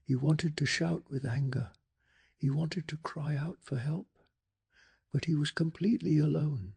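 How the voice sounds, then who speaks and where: A man tells a bedtime story in a soft, hushed ASMR delivery. His voice sits a little high for a man and is very husky and breathy, crackling heavily with vocal fry. The telling is calming yet dramatic. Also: a little slow. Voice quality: breathy, gravelly.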